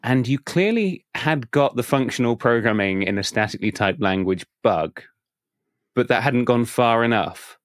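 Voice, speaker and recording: A man hosting a software podcast, introducing the episode and then interviewing a guest in a casual chat. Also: mid-range voice, lively intonation, normal speed, dry recording, studio quality. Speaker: podcast host.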